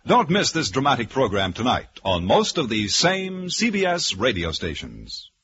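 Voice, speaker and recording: A man's voice: mid-range voice, wildly swooping pitch, moderate pace, fairly bright tone, slightly rough. An American man as a mid-century network radio announcer, reading credits and promos in a polished, emphatic way. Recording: dull old radio broadcast, faint music.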